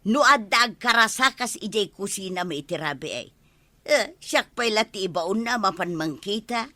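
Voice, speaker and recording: A woman with an Ilocano accent acting as an excitable, mocking villager in a radio comedy drama. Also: medium pitch, normal speed, dry recording. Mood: mocking, animated.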